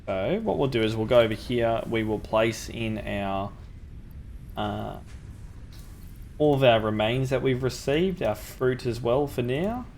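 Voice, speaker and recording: A man's voice: medium pitch, measured pace, vocal fry, a little mellow, slight background noise. A young man with a sore throat, casually talking through a factory-building game as the channel's host, thinking aloud with ums. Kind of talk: gameplay commentary.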